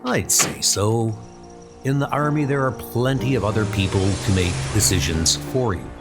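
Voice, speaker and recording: A man playing a composed senior British officer instructing spy recruits in a comic dub, formal and authoritative, with music behind. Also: fairly low pitch. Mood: measured, formal. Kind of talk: comic dub dramatic acting.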